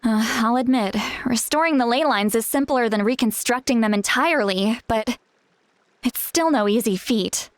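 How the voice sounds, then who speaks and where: Young woman seer for a game, earnest and urgent, high-pitched, voiced by an actress. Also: normal speed, dry recording, lightly acted. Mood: grave. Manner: urgent, explaining, hesitant asides. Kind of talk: English video game voice acting. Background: faint game music.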